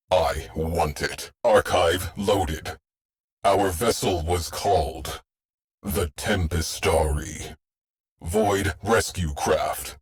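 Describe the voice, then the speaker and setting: A very low-voiced, grave machine mind of a starship for a video game. He speaks slowly and with a husky rasp, in clipped, cryptic phrases that sound solemn and weary, like a terse military officer. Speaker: ship AI giving crew briefings and alerts. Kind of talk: scripted video game voice lines.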